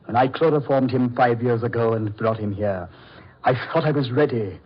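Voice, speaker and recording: An actor in an old-time radio horror play as a proud, coldly polite mad doctor, speaking in a deliberate, theatrical way. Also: male voice, medium pitch, breathy edge, close to an everyday voice. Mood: coldly triumphant. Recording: muffled vintage radio drama recording.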